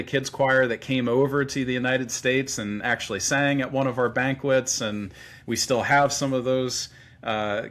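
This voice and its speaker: A man hosting a ministry podcast, introducing a guest in an earnest, halting way with many fillers. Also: mid-range voice, a bit bright. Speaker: podcast host.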